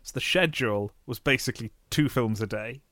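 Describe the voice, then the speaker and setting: A man's voice: medium pitch, fairly quick, pushed and bright, dry recording, clean studio sound. A man co-hosting a podcast, sharing trivia in casual chat and laughing at his own jokes. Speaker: podcast co-host.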